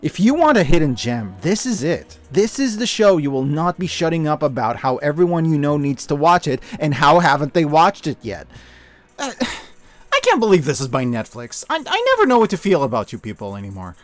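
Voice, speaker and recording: A man with a slightly high voice delivers a scripted review, dropping in casual asides. His intonation moves widely up and down, and he comes across as earnest and emphatic. Music plays behind him. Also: sing-song, brisk, no echo. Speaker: video essayist and media reviewer.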